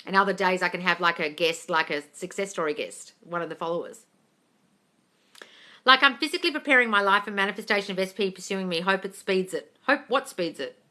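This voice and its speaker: Woman from England, livestream host, bright voice, blunt and sweary, reads out chat and answers, calls everyone darling. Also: mid-range voice, a little fast. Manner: reads chat comments aloud, then answers them. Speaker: manifesting coach answering live chat questions. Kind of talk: casual livestream Q&A. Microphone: phone livestream.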